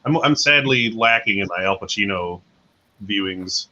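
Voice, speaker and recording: A man on a movie panel making quick joking asides. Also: fairly low pitch, expressive, pushed and bright, muffled recording. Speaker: panel guest. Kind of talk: casual panel chat.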